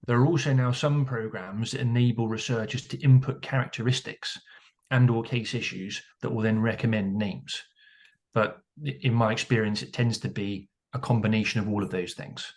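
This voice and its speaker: A man's voice: lowish voice, fairly even intonation, moderate pace. A man on a webinar panel who sets out points in an orderly, methodical way. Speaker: arbitration lawyer panelist.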